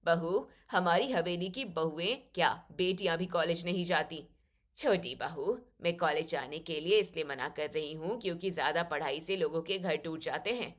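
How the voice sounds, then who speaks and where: A woman's voice: fairly low pitch, moderate pace, voice pushed down, lightly acted. A woman playing a stern, commanding older mother-in-law who speaks in curt, authoritative pronouncements in an audio story.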